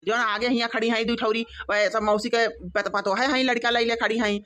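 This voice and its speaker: A woman's voice: fairly high pitch, level tone, brisk, a bit bright, natural voice. Proud Awadhi village mother-in-law acted by a woman. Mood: explaining. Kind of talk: comedy cartoon dialogue.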